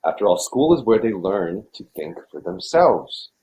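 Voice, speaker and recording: A stern, righteous man as an actor plays him in a podcast dramatization. His very deep voice moves widely in pitch as he lectures forcefully. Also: very animated, normal speed, poor audio.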